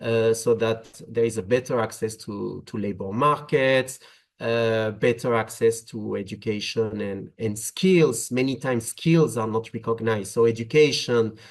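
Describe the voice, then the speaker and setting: French-accented man speaking with many pauses. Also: lowish voice. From France. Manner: hesitant, frequent "uh" fillers, measured phrasing. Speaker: webinar panelist on migration policy. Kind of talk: policy talk, webinar presentation.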